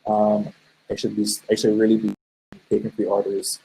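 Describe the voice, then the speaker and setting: A man's voice: lowish voice, completely flat delivery, moderate pace, creaky, warm tone, rough recording. A man with a Barbadian accent giving a live online presentation, talking casually and telling stories with frequent ums and uhs. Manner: conversational, storytelling with many fillers. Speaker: webinar presenter.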